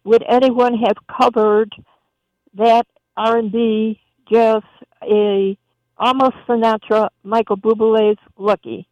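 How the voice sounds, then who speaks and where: A woman's voice: on the high side, flat delivery, slow pace, a little mellow, dry recording. A woman phones in to a radio request show, cheerful and rambling as she goes. Her voice is dry and close, with no room around it. The phone line sounds a bit dull and muffled. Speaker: caller.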